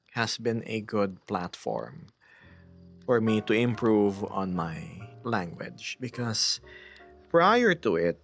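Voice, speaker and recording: Soft-spoken man streamer. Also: on the low side. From Philippines. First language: Tagalog. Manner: soft-spoken, drops into whispers, measured and dry. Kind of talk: casual chat with viewers.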